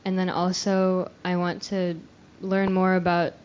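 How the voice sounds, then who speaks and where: A woman's voice: lowish voice, monotone, unhurried. A young woman introducing herself at a youth retreat in a casual, shy and modest way. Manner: shy, modest, laughs softly. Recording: dull lo-fi recording with faint noise.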